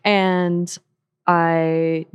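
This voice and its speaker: A woman's voice: on the low side, little pitch movement, extremely slow, smooth. A woman in her thirties from Seattle with an American accent, chatting openly and laughing often in an interview, with lots of "like" and "um". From Seattle, USA. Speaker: parkour coach and program director. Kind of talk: casual interview chat.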